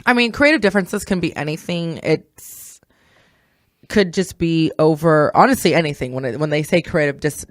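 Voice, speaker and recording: A woman hosting a podcast in a casual, halting, self-interrupting way. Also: low-pitched, dry recording, clean studio sound. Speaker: podcast host. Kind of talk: casual entertainment discussion.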